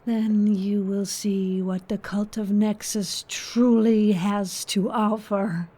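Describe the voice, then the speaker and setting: Mellow, seductive, scheming hostess. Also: female voice, mid-range voice, steady tone, takes it slowly, slightly rough. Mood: coolly seductive. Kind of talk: horror audio drama acting.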